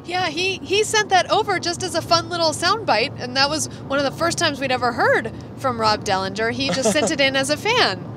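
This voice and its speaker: An American woman with a fairly high voice chats casually as a podcast co-host. She sounds enthusiastic and talkative, with music clearly audible behind her. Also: fairly quick, few pauses. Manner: enthusiastic, chatty.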